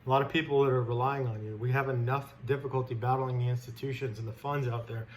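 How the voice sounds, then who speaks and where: A man's voice: medium pitch, fairly even intonation, fast. A man who hosts stock commentary, delivering an unscripted, blunt, indignant rant in informal language. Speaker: stock trader host giving commentary. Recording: clear background noise.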